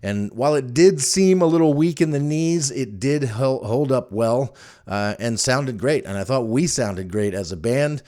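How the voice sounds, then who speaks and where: A man's voice: mid-range voice, darkish tone. A middle-aged man hosting a solo podcast in a casual, chatty, conversational storytelling manner. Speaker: podcast host.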